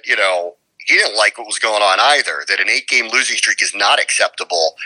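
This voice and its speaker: Man talking sports with a forceful, bright voice. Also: medium pitch, low-quality recording. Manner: emphatic, opinionated, uses rhetorical questions. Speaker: guest sports radio play-by-play announcer. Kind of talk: conversational sports commentary.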